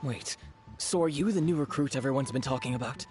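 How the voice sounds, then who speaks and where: An actor playing a polite, dutiful and deferential resistance officer in a video game, speaking expressively. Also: male voice, mid-range voice, a little fast, darkish tone, soft background music, natural voice. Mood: amazed. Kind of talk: scripted video game dialogue.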